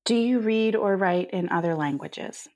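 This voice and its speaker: A woman hosting a podcast interview, asking measured questions and affirming briefly. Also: medium pitch, a little mellow. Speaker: podcast host. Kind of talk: interview conversation.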